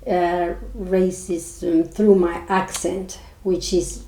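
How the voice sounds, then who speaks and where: A woman with a Spanish accent co-hosting a podcast, talking earnestly and haltingly with many restarts. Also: lowish voice, level tone, mellow, echoey room, low-quality recording. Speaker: podcast co-host. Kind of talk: casual chat.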